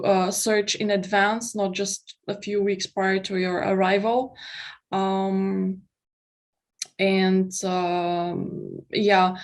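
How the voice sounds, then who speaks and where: Halting young woman presenting online. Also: medium pitch. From Bulgaria. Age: young adult. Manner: hesitant, halting delivery. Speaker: exchange student presenter. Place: indoors, online video call.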